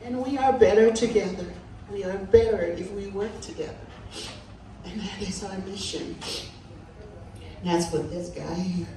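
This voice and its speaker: Slow, heartfelt woman in a hall. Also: mid-range voice, mellow, slight background noise. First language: Samoan. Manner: slow, heartfelt, emphatic delivery. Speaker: community leader giving a tribute. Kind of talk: public tribute speech. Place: large echoey hall. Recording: echoey hall from far off.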